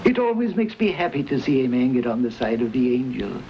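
Lively-toned old frontier priest, muffled soundtrack. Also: male voice, medium pitch, fairly quick, heavy background noise. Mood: kindly. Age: older. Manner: formal, solicitous. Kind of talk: 1950s western screen acting.

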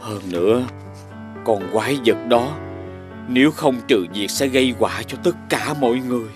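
A man's voice: mid-range voice, moderate pace, lightly acted. Man as a weary, kind father, music behind. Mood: humble, resolute. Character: weakened, kind and dutiful village father. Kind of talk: animated fairy tale voice acting. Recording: music under the voice.

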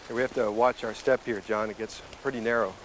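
A man's voice: on the low side, fairly even intonation, quick pace, slight background noise, low-quality recording. A middle-aged man working as a wilderness guide, explaining things in a matter-of-fact, instructive way while hiking outdoors. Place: outdoors in open coastal tundra, on the move.

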